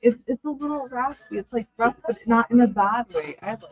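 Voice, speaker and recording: A woman's voice: fairly high pitch, lively intonation, brisk, slightly rough, poor audio. A woman co-hosting a casual livestream podcast, teasing and giggly. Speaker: podcast co-host.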